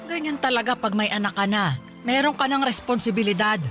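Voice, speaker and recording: A woman's voice: a little high, fairly quick, fairly bright tone, soft background music, natural voice. A woman playing a caring but scolding old grandmother in a Filipino radio drama, speaking with reproachful, pleading emphasis.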